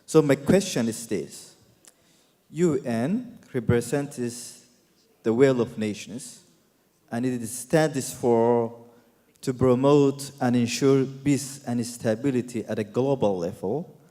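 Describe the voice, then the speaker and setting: A man from Somalia with a Somali accent puts a formal question from the audience, emphatic and deliberate, in short repeated phrases. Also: medium pitch, mellow. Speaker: audience member asking a question. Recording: echoey hall with long reverb.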